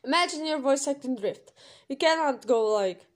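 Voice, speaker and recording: A woman's voice: sits high, expressive, normal speed. Woman giving emphatic instructions. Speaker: channel creator running a voice audition. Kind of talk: informal scripted explainer voice-over with numbered rules.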